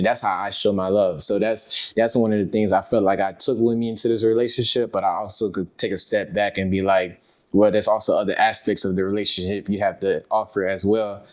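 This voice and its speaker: A man with a fairly low voice speaks as a podcast guest. He reflects in a relaxed, informal way, working through his thoughts without hurry. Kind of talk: casual heartfelt conversation.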